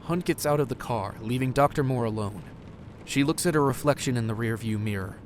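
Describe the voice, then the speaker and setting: A man's voice: mid-range voice, a little fast, a little hiss or hum. A man narrating an audio drama in scripted, present-tense prose.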